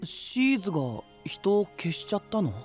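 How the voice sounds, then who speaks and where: Boyish, very expressive young knight. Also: male voice, high-pitched, normal speed, faint music behind. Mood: candid, worried. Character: boyish, candid, easily worried young male knight. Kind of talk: Japanese anime-style audio drama acting.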